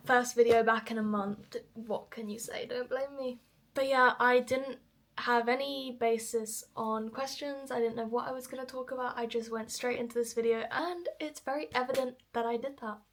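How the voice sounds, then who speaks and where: A young woman of nineteen from London speaks with a southern English accent in a fairly high voice. She talks to her viewers like a vlogger, informal and chatty, with a self-deprecating way about her. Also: steady tone, normal speed. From London, England. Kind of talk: casual get-ready-with-me chat to viewers.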